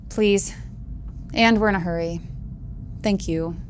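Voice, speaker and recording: Actress as wry loyal woman colleague, efficient, mid pitch, audio drama, slight background ambience. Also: slow pace, slight background noise, natural voice. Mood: dry, brisk. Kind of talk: naturalistic audio drama dialogue.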